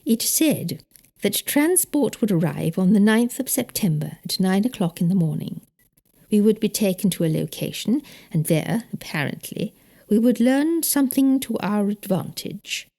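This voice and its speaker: A woman's voice: medium pitch, expressive, normal speed, mellow. A woman voicing a prim, indignant older married lady with a British accent, emphatic and precise, in an audiobook. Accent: British English. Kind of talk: audiobook character dialogue.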